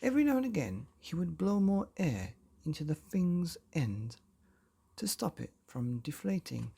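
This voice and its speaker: A man hosting and narrating a children's bedtime story in a dramatic storyteller's delivery with long pauses. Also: a little high, big pitch swings, creaky, dark tone, slightly rough. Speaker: bedtime story podcast host and reader.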